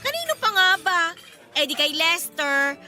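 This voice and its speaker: A woman's voice: extremely high pitch, big pitch swings, normal speed, sharp tone, full character voice. A woman acting a playful, cheeky, matchmaking workmate with giggly, sing-song teasing in a Filipino radio drama.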